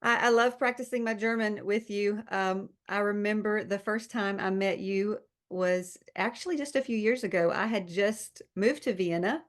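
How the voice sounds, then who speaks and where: A woman's voice: medium pitch, moderate pace, hardly any pauses. A woman pastor hosting a faith podcast, chatting in an encouraging, upbeat way. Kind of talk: casual faith podcast chat.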